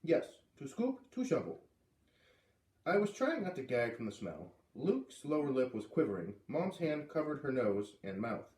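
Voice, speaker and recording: A man reading a children's book aloud, expressively, with dramatic pauses on key words. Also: mid-range voice, very animated, a little mellow. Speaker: read-aloud reader. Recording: echoey room, distant microphone.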